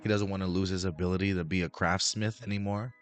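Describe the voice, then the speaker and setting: A hoarse man hosting a casual show review, rambling with many restarts while recovering his voice. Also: low voice, steady tone, fast, faint music behind. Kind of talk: casual review talk to camera.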